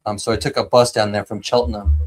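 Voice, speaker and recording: A man's voice: on the low side, little pitch movement, quick pace, rough recording. An American man from San Diego hosting a live stream, chatting enthusiastically with lots of fillers and restarts. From San Diego, United States. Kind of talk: casual chat.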